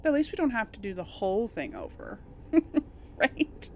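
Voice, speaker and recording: Animated high-voiced woman playing a video game. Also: normal speed, a little hiss or hum. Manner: expressive, reacting aloud and talking to game characters. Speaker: gamer narrating a role-play let's play. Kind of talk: casual gameplay commentary. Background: game music behind the voice.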